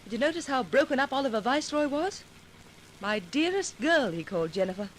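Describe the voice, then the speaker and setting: An actress playing a concerned, sharp-eyed woman who assists a detective, earnest and inquisitive in 1940s radio drama. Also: high voice, expressive, brisk. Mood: curious. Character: concerned, sharp-eyed female companion of a sleuth. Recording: noisy vintage 1940s radio recording.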